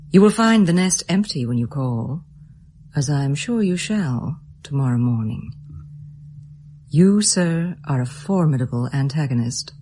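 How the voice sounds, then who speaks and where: Elegant clever lady, very mellow dark tone, calm and formal, reading a letter, radio drama. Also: low-pitched, slight background noise.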